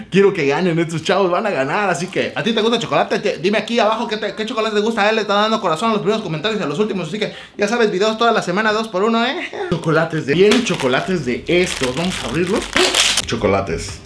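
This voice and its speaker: A man in his thirties with a Mexican accent, vlogging in a loud, joking, animated way, filmed close up by his own camera. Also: high-pitched, fast. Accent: Mexican Spanish. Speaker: YouTuber. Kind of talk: excited vlog presenting. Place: small room with foam panels, and a store.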